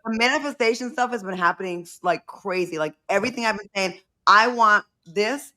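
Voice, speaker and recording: A woman's voice: medium pitch, a little fast, sharp tone. A woman with a New England accent, chatting casually and laughing at herself as a co-host. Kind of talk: casual chat.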